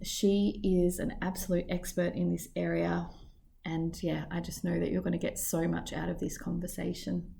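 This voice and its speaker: A woman's voice: fairly low pitch, little pitch movement, normal speed, creaky, mellow. A woman hosting a podcast, reading a scripted introduction and then asking questions, with an upbeat and enthusiastic delivery. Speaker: podcast host.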